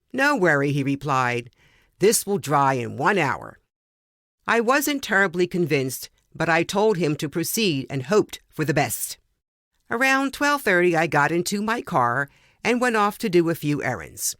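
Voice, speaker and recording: Female story podcast reader, fairly low-voiced, measured and dramatic, recorded dry without room sound. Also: no echo. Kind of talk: expressive reading of a first-person story.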